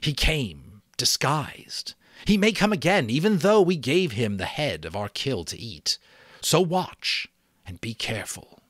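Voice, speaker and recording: Give this storybook role a commanding young chief acted out by a man. The boy's voice sits well above an adult man's usual pitch, swinging widely as he issues solemn, emphatic orders to his tribe. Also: big pitch swings, measured pace, fairly bright tone, dry recording, clean studio sound. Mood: earnest warning.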